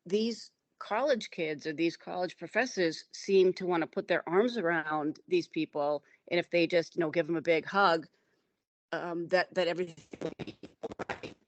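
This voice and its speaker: A middle-aged woman hosting a conversation, with a fairly low voice and a dry, close sound. She talks in an emphatic, opinionated way and rambles from point to point. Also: normal speed, no echo. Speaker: host. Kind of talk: casual conversation.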